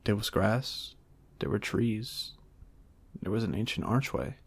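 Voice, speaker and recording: Guy doing storytime asmr narration, low voice, unhurried, real dry recording. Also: creaky, slightly rough, no echo.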